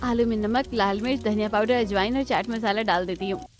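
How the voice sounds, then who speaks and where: A woman's voice: mid-range voice, fairly even intonation, a little fast, close to an everyday voice. A woman playing a polite, determined young daughter-in-law in a cartoon story, sincere in manner. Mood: hopeful.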